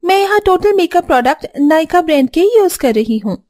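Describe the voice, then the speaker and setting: A woman's voice: very high voice, expressive, a little fast, a little mellow. A woman voicing a vain, snappish young woman in a cartoon story, with a scornful, showy manner. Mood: showy, self-pleased.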